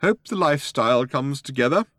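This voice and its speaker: A man's voice: mid-range voice, lively intonation, fairly quick, sharp tone, no echo, studio quality, voice pushed up. A man playing a polite, proud, easily flustered old man in an audiobook. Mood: coldly hurt. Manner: polite, hesitant, indignant when crossed. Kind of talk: audiobook character voice.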